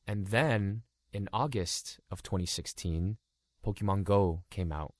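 A man in his thirties from Los Angeles, whose first language was Cantonese, telling his story in a relaxed interview full of "like" fillers. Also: deep voice, unhurried, no room sound, studio quality. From Los Angeles, California. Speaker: guest YouTuber.

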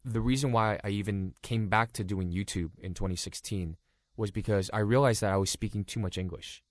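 A man with a very deep, low voice tells his story in an easygoing interview, dropping "like" into nearly every sentence. He is in his thirties, from Los Angeles, with Cantonese as his first language. The recording is dry and close. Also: brisk, no room sound. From Los Angeles, California. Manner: relaxed, many "like" fillers. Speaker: guest YouTuber.

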